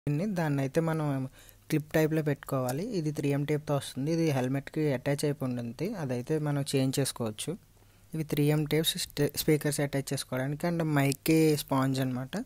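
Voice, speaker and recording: A Telugu man narrates a practical demonstration in an unscripted way. His voice sits a little high for a man, with very little melodic movement. He explains each step plainly, as a vlogger showing viewers how it is done. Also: steady tone, quick pace, warm tone, no echo. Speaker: vlogger reviewing and installing gear. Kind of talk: unscripted hands-on tutorial narration.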